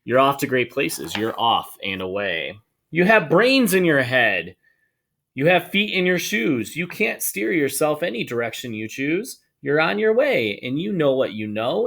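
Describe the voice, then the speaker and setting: Animated man reading a children's storybook aloud. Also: medium pitch, creaky. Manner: expressive, sing-song, drawn-out emphasis. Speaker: storybook reader for schoolchildren. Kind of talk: animated read-aloud of a rhyming children's book.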